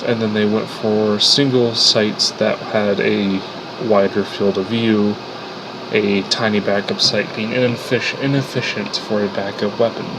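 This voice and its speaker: A man's voice: mid-range voice, noisy background. Congested man explaining casually. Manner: casual, rambling with fillers and restarts. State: sick, congested. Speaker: hobby 3D weapon modeler. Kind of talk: unscripted explanation over 3D model footage.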